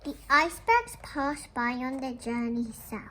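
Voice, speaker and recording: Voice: sits high, measured pace. A child presenter reading a picture book aloud expressively, with chatty asides to young listeners. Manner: expressive, sing-song repeated greetings, addresses young listeners.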